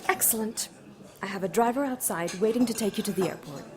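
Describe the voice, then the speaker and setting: An actress playing a sly, amused demon villainess in an audio drama, smug and seductive. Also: female voice, mid-range voice, a little fast, a crowd in the background, close to an everyday voice.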